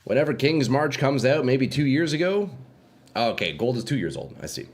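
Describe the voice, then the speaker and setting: A man's voice: medium pitch, very animated, moderate pace. A young man streaming a game live with a stuffy nose, chatting with viewers in a laid-back, slangy way at a desk microphone.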